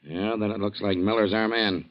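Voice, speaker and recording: An actor playing a matter-of-fact sheriff, businesslike and clipped, in 1940s radio style. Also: male voice, lowish voice, steady tone, moderate pace, lightly acted. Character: matter-of-fact, brisk small-town male sheriff. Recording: dull vintage 1940s radio recording.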